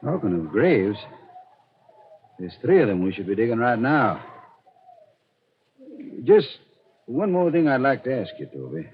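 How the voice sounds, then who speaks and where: Uneasy slow-talking man, very muffled radio. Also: mid-range voice, very animated. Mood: uneasy, probing. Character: earnest, uneasy man questioning his companion after deadly danger. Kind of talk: 1940s radio drama acting. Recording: very muffled, noisy 1940s radio broadcast.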